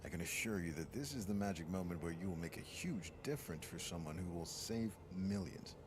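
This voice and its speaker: A man voices a persuasive warrior comrade with a low voice. He is earnest and a little grandiose, as in an audio drama, over clear background noise. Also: animated, a little fast. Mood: earnest persuasion. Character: earnest, persuasive veteran male guardian comrade. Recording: noisy ambient background.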